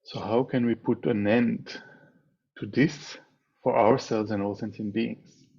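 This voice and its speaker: Husky low-voiced man guiding a meditation. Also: steady tone, warm tone. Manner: measured, pausing between short phrases. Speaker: meditation teacher. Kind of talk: guided meditation instruction. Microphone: video call microphone. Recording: slightly dull, lo-fi video call audio.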